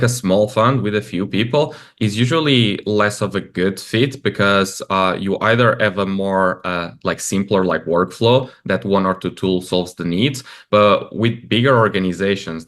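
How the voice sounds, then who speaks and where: Deep-ish guy from portugal chatting about his startup, lots of likes and uhs. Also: fairly bright tone. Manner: loose and conversational, many fillers like "like" and "uh". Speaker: guest, startup founder. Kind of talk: casual interview talk.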